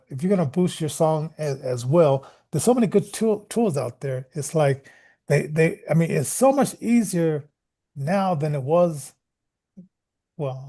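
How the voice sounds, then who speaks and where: A middle-aged or older man rambling casually as an online radio host, halting and repeating words, often chuckling. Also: fairly high pitch, warm tone. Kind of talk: casual rambling livestream talk.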